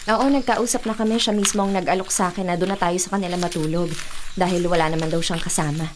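A woman's voice: fairly low pitch, steady tone, fast, noisy background, close to an everyday voice. A woman playing an uneasy, watchful young provincial woman in a radio drama, earnest in delivery. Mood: calm, reminiscing. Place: radio studio booth. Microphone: studio mic.

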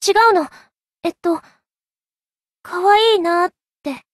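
A woman's voice: very high voice, slow pace, dry recording, clean studio sound. A woman playing an earnest, innocent teenage girl in an anime game, sweet and sincere. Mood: curious, shy. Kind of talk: Japanese anime game voice acting.

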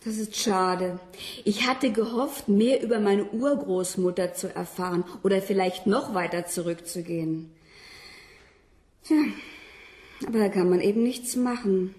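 An actress playing a curious, principled young student in a radio play. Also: female voice, mid-range voice, measured pace, darkish tone, natural voice. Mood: polite. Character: Laura Carson, young female student, curious but principled. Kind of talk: radio drama acting.

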